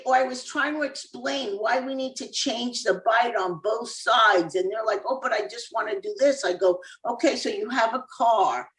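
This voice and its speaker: A woman's voice: a little high, far from the mic. Chatty woman from Cuba, echoey room. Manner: chatty, laughs easily, many fillers. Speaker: author and former dentist, guest. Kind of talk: casual interview chat.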